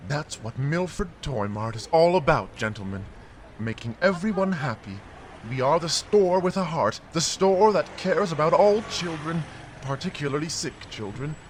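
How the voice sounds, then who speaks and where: Man playing fussy, animated store manager, higher-pitched. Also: moderate pace, slight background noise, close to an everyday voice. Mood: self-satisfied. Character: fussy, publicity-hungry male toy store manager. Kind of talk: audio drama acting.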